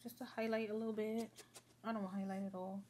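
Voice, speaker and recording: Young woman, kinda husky and sniffly, rambling to her viewers while she does her makeup at home. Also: medium pitch, flat delivery, brisk, mellow. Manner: rambling, chatty, talks to viewers as y'all and girl. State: sniffly, fighting a sore throat. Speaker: beauty vlogger. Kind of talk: casual get-ready-with-me chat.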